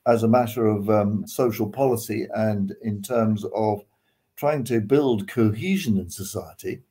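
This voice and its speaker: A man's voice: fairly low pitch, a little slow, mellow. An older British man giving his opinions as a panelist on a video call, hesitant and reflective as he thinks aloud. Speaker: news discussion panelist. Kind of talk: casual opinion discussion. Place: living room at home. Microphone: video call, seated back from the camera.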